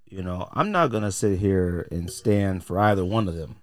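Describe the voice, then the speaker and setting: An American man with a low voice, recorded close and dry. He talks like a podcast host sharing opinions, informal and full of slang. His delivery is blunt and conversational. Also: moderate pace, no room sound. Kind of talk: casual opinionated podcast talk.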